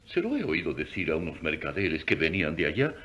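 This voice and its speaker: An actor playing a kindly, hopeful old wandering beggar in a radio drama, with a husky voice. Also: male voice, extremely low pitch, sing-song, brisk, fairly bright tone, highs cut off, full character voice. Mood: assured, gentle. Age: older.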